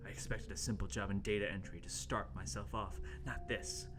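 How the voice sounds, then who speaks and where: A man's voice: on the low side, steady tone, a little fast. A man narrating a horror radio play as its host, in a theatrical, mock-ominous dramatic style. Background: music.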